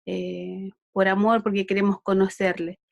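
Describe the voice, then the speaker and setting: A middle-aged woman with a Chilean accent giving an online Bible lesson, unhurried and full of fillers and restarts. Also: mid-range voice, level tone, vocal fry, darkish tone, no echo, lo-fi sound. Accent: Chilean Spanish. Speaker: Bible school teacher.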